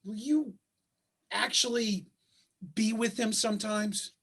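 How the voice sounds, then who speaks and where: A man's voice: sits high, steady tone, slow pace, vocal fry, a bit bright, heavily acted. A man playing a bitter, anguished father and husband in a staged play reading, speaking with emphatic insistence over a video call. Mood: anguished, uneasy.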